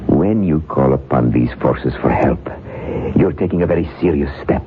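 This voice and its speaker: A man's voice: a bass voice, animated, normal speed, faint music behind. An actor playing a suave, scheming male occult author in a 1970s radio drama, talking in a silky, mock-gracious drawl with small hesitations. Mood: ominous. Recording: narrow hissy old radio broadcast.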